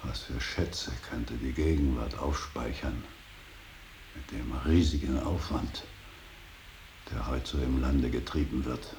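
An actor playing a stern, earnest older painter and professor in a radio play, preaching duty with emphatic, deliberate repetition. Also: male voice, fairly even intonation, a little mellow. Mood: brooding, regretful. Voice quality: gravelly, very deep. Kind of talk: German radio play acting. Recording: hissy radio drama recording.

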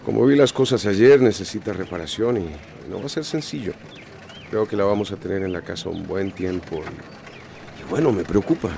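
A man playing a worried, quick-tempered middle-aged son and husband with a Costa Rican accent, exasperated and emphatic, in a radio drama. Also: deep voice, creaky, warm tone, slight background noise.

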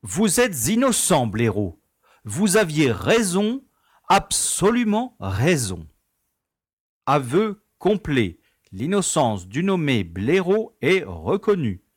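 Fussy, animated prison director played by an actor. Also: male voice, on the high side, takes it slowly, breathy edge, no room sound, clean studio sound, full character voice. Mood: excited. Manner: theatrical, formal, courteously condescending. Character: fussy, affable male prison director, placidly bureaucratic. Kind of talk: French audio drama acting.

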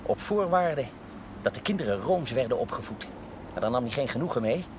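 An actor playing a playful, ardent young suitor in a television drama, teasing and eagerly insistent. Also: male voice, medium pitch, expressive, fairly quick, background noise, natural voice. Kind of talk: 1970s Dutch television drama acting.

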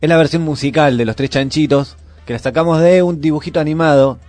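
A man's voice: fairly high pitch, fairly even intonation, a little fast, a little mellow, no echo. A man with an Argentine accent co-hosting a radio show in casual, slangy chat.

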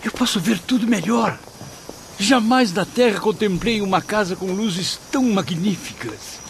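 A man's voice: sits high, lively intonation, unhurried, gravelly, a little hiss or hum, full character voice. An actor playing an anxious, devout male spirit in a radio drama, theatrical and full of wonder, with a Brazilian accent. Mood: awestruck wonder. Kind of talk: radio drama acting, formal literary diction.

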